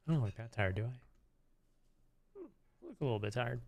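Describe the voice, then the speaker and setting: A man's voice: mid-range voice, huge pitch swings, a little fast, creaky, darkish tone. A man hosting a livestream, chatting casually to viewers in a rambling, hesitant way and often repeating himself. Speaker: live streamer host.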